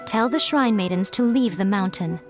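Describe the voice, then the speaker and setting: A woman's voice: mid-range voice, normal speed, close to an everyday voice. A woman voicing a sly, teasing fox-spirit shrine priestess who speaks coyly and gives orders with ease, in a video game. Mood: instructive. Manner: playful and coy, smoothly commanding. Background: game music.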